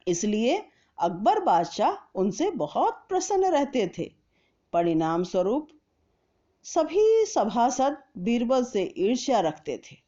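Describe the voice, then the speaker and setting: A woman narrating a scripted children's story in a deliberate, expressive storytelling manner. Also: on the high side, lo-fi sound.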